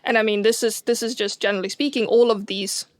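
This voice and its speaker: A woman's voice: mid-range voice, fairly even intonation, fast talker, no echo. A woman with a Swedish accent, co-hosting a casual science chat as an astronomer, enthusiastic and full of fillers and restarts. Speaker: co-host, astronomer.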